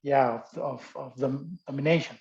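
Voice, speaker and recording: A man speaking English as a second language delivers an academic talk at a mid-range pitch. He stops and restarts often, searching for words through many fillers. The audio comes through dull and lo-fi. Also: steady tone, normal speed, creaky, a little mellow. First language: not English. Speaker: guest speaker.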